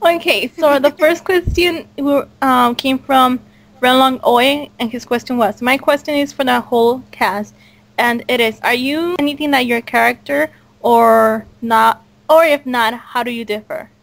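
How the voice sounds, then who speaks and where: High-pitched young-sounding woman hosting casually. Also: measured pace, vocal fry, a bit bright. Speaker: host of a cast interview for a fan audio drama. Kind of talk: casual group chat, reading out listener questions.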